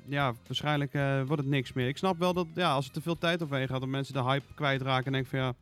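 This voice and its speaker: A man talks quickly in a mid-pitched voice. He is an informal, agreeable panellist in a casual gaming chat, with soft music behind him. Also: steady tone, clear, resonant tone. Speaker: podcast panellist.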